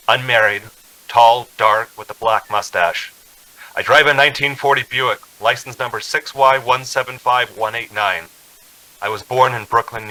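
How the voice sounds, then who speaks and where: A man's voice: lowish voice, sharp tone, low-quality recording. A man playing a haunted thirty-six-year-old traveler in a radio drama, with an urgent, dramatic way of talking. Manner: urgent, dramatic, halting confession. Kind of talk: 1940s radio drama acting.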